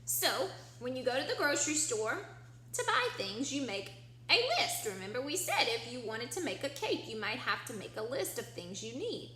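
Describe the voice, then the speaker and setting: A woman's voice: fairly high pitch, sing-song, normal speed, bright, forceful tone. A woman teacher reading a picture book aloud to young children, explaining simply and asking prompting questions. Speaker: early-years teacher. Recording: echoey room with faint background hum.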